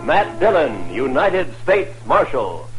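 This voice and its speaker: A man's voice: fairly high pitch, big pitch swings, slow, poor audio. A man announcing an old radio western in a bold, dramatic, declamatory style. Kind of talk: dramatic 1950s radio show introduction. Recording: old radio recording under loud music.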